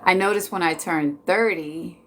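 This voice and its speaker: Slow, easygoing woman in her forties. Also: on the low side, a bit bright, slight background noise. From Washington D.C. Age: 40s. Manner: chatty, laid-back, joking. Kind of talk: casual chat with viewers.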